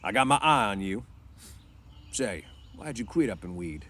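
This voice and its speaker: A man acts out a domineering storybook ranch boss, gruff and suspicious. He interrogates in rough slang, with emphatic rises and falls in pitch. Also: big pitch swings, measured pace, fairly bright tone, heavily acted. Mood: stern warning. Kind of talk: storybook read-aloud.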